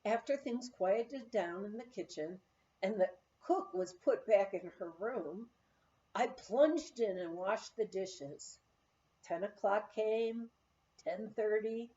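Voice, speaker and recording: A woman narrating a written memoir as an audiobook reader, with expressive storytelling. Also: mid-range voice, slow pace, muffled recording.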